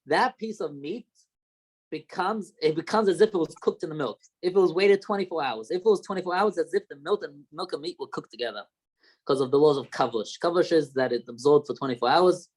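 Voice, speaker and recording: A man's voice: on the high side, darkish tone, breathy edge. A young man with a light Australian accent, a rabbi teaching an informal class and explaining step by step with questions.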